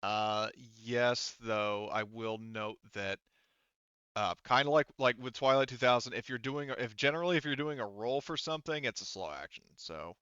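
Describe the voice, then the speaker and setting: A man talking casually as game master of a tabletop role-playing game, explaining rules haltingly as he checks them, in slightly dull voice-chat audio. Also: medium pitch, sharp tone, clean tone, dry recording.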